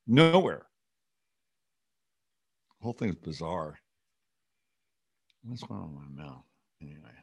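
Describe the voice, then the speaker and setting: A man's voice: low voice, big pitch swings, unhurried, vocal fry, long pauses, husky. Sardonic, rambling male talk host. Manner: rambling, sardonic, skeptical, profane. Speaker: host of a commentary show. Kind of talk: unscripted political commentary monologue.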